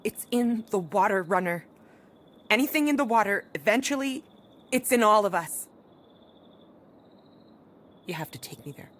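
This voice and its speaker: A woman playing a rural doctor and protective mother in a post-apocalyptic audio drama, with a husky voice. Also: mid-range voice, lively intonation, moderate pace, long pauses, a bit bright, lightly acted. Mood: urgent, stern.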